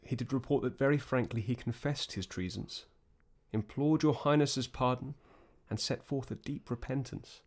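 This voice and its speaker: A man's voice: medium pitch, normal speed, a little mellow, close to an everyday voice. An actor playing a dutiful young prince in a Shakespearean radio drama, formal and sober as he reports. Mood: sober, respectful.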